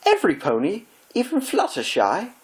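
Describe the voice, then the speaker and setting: Here is a refined lady pony from a cartoon fan story, acted out by a male reader. The voice is pitched well up, with gushing, theatrical delivery. Every phrase is prim, fussy and full of drama. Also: wildly swooping pitch, normal speed, poor audio. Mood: theatrical remorse.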